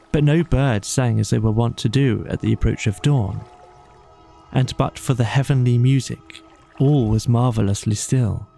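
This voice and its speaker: A man reads a bedtime story as an audiobook narrator, slowly and solemnly. His voice is mellow with a gravelly creak, and music plays softly behind his measured phrases. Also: mid-range voice.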